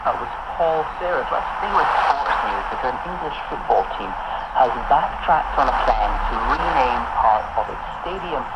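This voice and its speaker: A man's voice: mid-range voice, animated, normal speed. A man presenting scripted radio news over a muffled shortwave signal with heavy noise.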